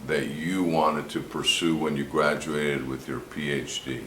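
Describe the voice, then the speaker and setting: Lawyer guy with a super deep voice asking plain questions, roomy courtroom echo. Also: little pitch movement, measured pace, fairly bright tone, a little hiss or hum. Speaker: attorney questioning a witness. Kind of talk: direct examination.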